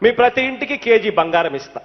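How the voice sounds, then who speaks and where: A man's voice: high voice, expressive, fast. An actor playing a pleading man who makes extravagant promises in film dialogue. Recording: dull clip with long reverb.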